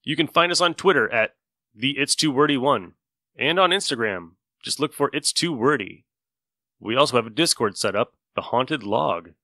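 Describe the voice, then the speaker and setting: A man's voice: mid-range voice, expressive, moderate pace, no room sound, clean studio sound. A man hosting a podcast, giving a casual introduction. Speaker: podcast host. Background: loud music.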